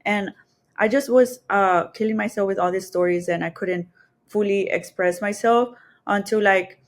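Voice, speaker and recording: A woman speaks with a Mexican Spanish accent in a medium-pitched voice. She is a podcast guest sharing personal stories in an informal, candid way, working out her words as she talks. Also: moderate pace. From Texas and Mexico border region. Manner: candid, informal, thinking aloud. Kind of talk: casual personal storytelling.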